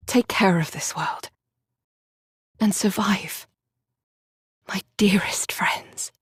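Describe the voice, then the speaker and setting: Tender, unhurried young woman soldier. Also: medium pitch, husky, no echo, in a whisper, studio quality, heavily acted. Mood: wistful, tearful. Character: gentle, devout young woman soldier, sorrowful and earnest. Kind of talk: dramatic game voice acting.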